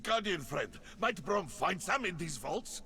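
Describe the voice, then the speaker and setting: A man playing a stalwart, big-hearted warrior in a video game, earnest and hopeful, with a gravelly voice. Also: high-pitched, very animated, a little slow, pushed and bright, full character voice. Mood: earnest, determined. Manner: speaks of himself in third person, earnest. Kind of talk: scripted video game acting.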